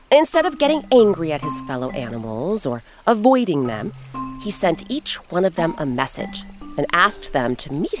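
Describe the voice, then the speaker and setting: A woman narrating a children's folktale as an expressive storyteller and host who addresses the listener. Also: on the low side. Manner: animated, audience-addressing storyteller.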